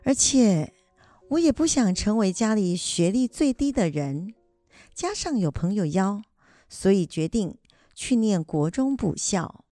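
A woman's voice: mid-range voice, sing-song, a little slow, warm tone, no echo, clean studio sound, soft background music. A woman playing a stubborn, cheerful grandmother in a family radio drama, insistent and colloquial. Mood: wistful, resolved. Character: stubborn, cheerful grandmother determined to go back to school.

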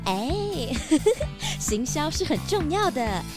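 Vain high-pitched rich pelican lady. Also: very animated, slow, music in the background, exaggerated voice. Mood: smug, boastful. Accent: Taiwanese Mandarin. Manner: boastful, self-satisfied, salesy. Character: vain, gushing rich female pelican business tycoon. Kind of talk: children's detective radio play acting.

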